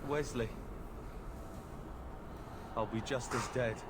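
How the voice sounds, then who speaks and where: A man's voice: mid-range voice, steady tone, slow, a crowd in the background, lightly acted. A man playing a sickly, bitter and resigned young warrior in a fantasy game. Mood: weak, resigned. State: sickly, weak. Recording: game audio with clear background noise.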